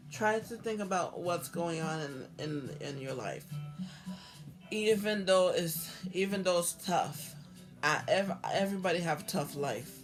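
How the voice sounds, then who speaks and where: A hoarse woman vlogging about her own life in a halting, earnest way, repeating herself and restarting often. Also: fairly low pitch. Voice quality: halting, repetitive, with many restarts. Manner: earnest, heartfelt. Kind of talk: personal talk to camera.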